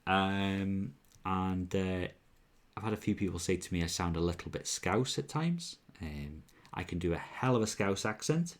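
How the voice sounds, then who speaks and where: A man with a deep, low voice and a Welsh accent, blurred by years in Scotland and northern England, hosts a relaxed live stream from home. He talks in a chatty, upbeat way, full of ums and false starts. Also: moderate pace. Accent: Welsh, mixed with Scottish and northern English. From Wales. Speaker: live-stream whisky tasting host. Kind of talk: casual live-stream chat reading out viewer comments.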